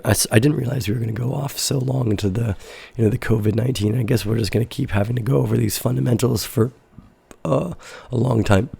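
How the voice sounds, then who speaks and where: A hoarse, raspy man in his sixties hosts a live stream and answers viewer questions in a blunt, fiery, profane way. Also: mid-range voice, warm tone, no echo. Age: 60s. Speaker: host of a live crypto trading show.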